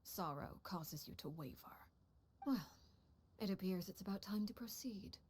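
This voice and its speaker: A woman voicing a stern, icily composed head of an assassin household in a video game, speaking low and measured, often near a whisper. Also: lively intonation, a little mellow, husky. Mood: coldly composed. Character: cold, stern mother figure called Father, head of an assassin household. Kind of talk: video game story dialogue.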